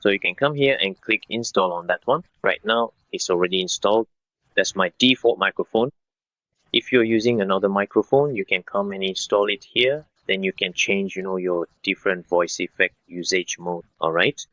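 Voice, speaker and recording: A man in his thirties narrating a step-by-step software tutorial in an instructional way, speaking directly to viewers. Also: deep voice, moderate pace, dry recording, highs cut off. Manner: instructional, addresses viewers as guys.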